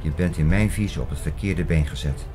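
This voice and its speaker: An actor in a radio drama playing a sceptical, learned man who argues in a measured, lecturing and dismissive way. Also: extremely low pitch, over music. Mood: dismissive, reasoning. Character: sceptical, learned older male friend named Klaus, dismissive. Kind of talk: radio drama dialogue acting.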